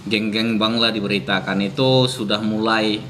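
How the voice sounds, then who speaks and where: A chatty man with an Indonesian accent reacts to video clips in casual commentary. His voice is bright and forward. Faint noise hangs in the background. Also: fairly low pitch, steady tone, normal speed. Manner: chatty, addresses viewers as boss. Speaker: reaction channel host.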